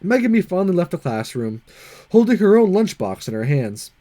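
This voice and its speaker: A man's voice: sits high, big pitch swings, fairly quick, mellow, no echo, soft background music. A man hosting a gaming video, reading a visual novel aloud with casual comments and stumbling over the odd line. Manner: stumbles and rereads lines.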